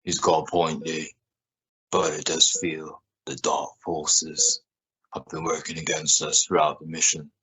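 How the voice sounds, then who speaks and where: A man playing a level-headed space mission crew member in an amateur radio drama, measured and matter-of-fact. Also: very deep voice, flat delivery, pushed and bright, exaggerated voice. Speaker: amateur radio play actor. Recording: noisy home recording with clicks.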